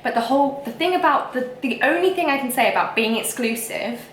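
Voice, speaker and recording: A woman's voice: sits high, lively intonation, a little fast, echoey room, a little hiss or hum. A young woman with a whiny voice, ranting frankly to camera in a casual vlog. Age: young adult. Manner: emphatic and frank, with frequent fillers. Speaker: vlogger.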